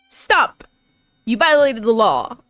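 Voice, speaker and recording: A woman's voice: a little high, big pitch swings, takes it slowly, fairly bright tone, soft background music. A woman giving casual, slangy, teasing commentary as she plays through a game. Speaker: streamer reacting to a game.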